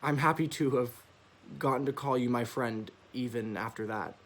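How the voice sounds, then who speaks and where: Young man as a gentle, apologetic teenage boy. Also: medium pitch, normal speed, close to an everyday voice. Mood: remorseful, gentle. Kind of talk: stage monologue as spoken letter.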